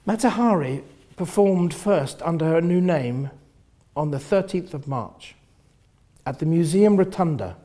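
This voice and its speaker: A man with a husky voice reading first-person literary fiction in an expressive, theatrical manner, often hushing the ends of phrases. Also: fairly high pitch, measured pace. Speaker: reader of a novel.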